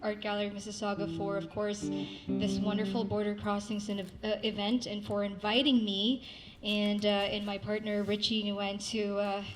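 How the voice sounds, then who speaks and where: A woman's voice: medium pitch, flat delivery, normal speed, poor audio. A young woman from Saudi Arabia, a guest singer-songwriter, giving a gracious, earnest live introduction on stage at an art gallery event. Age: young adult. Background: faint guitar.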